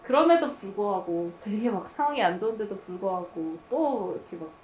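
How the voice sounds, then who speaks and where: A woman's voice: mid-range voice, lively intonation, brisk, darkish tone. A woman talking casually and tentatively in a group discussion, circling back over her words as she reflects. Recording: roomy, noisy group room recording.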